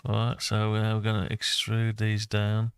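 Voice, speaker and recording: A man's voice: lowish voice, monotone, moderate pace, clear, resonant tone, no echo. A man giving a casual think-aloud tutorial, muttering to himself as he talks through each step. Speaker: host of a CAD design tutorial.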